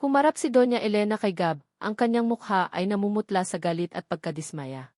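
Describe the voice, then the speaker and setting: A woman's voice: medium pitch, a little fast, a little mellow, no echo. Woman narrating drama, close dry sound. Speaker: story narrator. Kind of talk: dramatic scripted narration of a love story.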